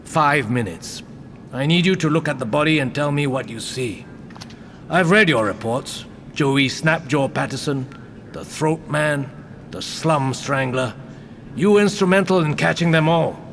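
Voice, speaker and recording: An actor playing a brusque, mysterious senior detective in an audio drama, with a commanding, cryptic and clipped way of talking. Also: male voice, fairly high pitch, a little slow, a bit bright, slight background noise. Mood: brisk, commanding. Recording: music behind the dialogue.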